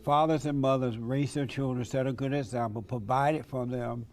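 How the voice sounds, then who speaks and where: Debate guest, man, unhurried deliberate questioner, middle pitch. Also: steady tone.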